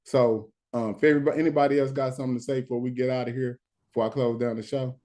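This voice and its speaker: A man in runs a live stream late at night, worn out, breaking off and restarting his points. His voice sits in an ordinary middle pitch. He sounds measured even while arguing. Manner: halting, many restarts and "hold on". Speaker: stand-in host of a live stream. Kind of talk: casual live-stream talk.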